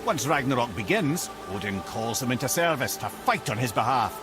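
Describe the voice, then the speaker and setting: An actor playing a chatty, witty old advisor in a video game, a wry storyteller. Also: male voice, medium pitch, animated, a little fast, music in the background, natural voice. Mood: explanatory. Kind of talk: video game dramatic acting.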